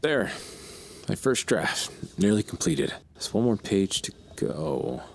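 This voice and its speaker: An actor playing a brooding, grandiose serial strangler, speaking in deliberate theatrical monologue for an audio drama. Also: male voice, fairly low pitch, sing-song, creaky, a little hiss or hum, heavily acted. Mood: satisfied. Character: menacing, brooding male serial strangler, self-absorbed killer.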